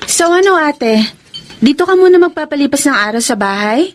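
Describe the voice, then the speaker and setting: An actress with a Filipino accent playing an earnest, frank younger sister in a radio romance drama. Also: female voice, high-pitched, expressive, moderate pace, slight background noise. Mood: curious. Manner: probing, earnest, reproachful.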